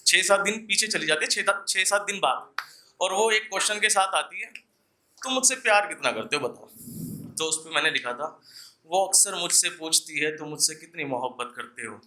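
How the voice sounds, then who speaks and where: A man's voice: high voice, lively intonation, moderate pace, pushed and bright. A young man performing poetry live at an open mic, declaiming couplets with repeated lines and casual asides to the audience.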